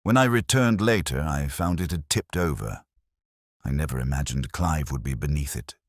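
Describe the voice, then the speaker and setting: A man voicing a frightened, guilt-ridden parish churchman in a storybook, pleading and earnest as he confesses haltingly. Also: a bass voice, lively intonation, dry recording, studio quality, full character voice. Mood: remorseful. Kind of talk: dramatised audiobook dialogue.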